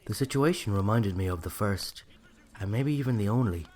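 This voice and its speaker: A man's voice: fairly low pitch, animated, a little fast, a little mellow. A man with an Irish accent narrating a scripted first-person story.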